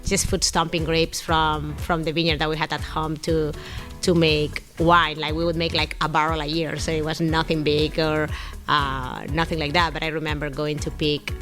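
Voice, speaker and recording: A middle-aged woman with a Spanish accent talks in a low-pitched voice. She is an informal, chatty interview guest, with faint music behind her. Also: level tone, moderate pace, a bit bright. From Barcelona, Spain. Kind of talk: casual interview chat.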